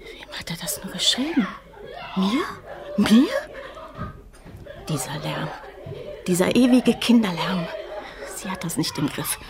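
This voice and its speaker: A woman's voice: on the low side, expressive, unhurried, pauses often, noisy background. An actress in a German radio drama playing a bitter, jealous, harsh woman who mutters and erupts in agitation. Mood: bitter, agitated. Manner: agitated, muttering then shrilly outbursting.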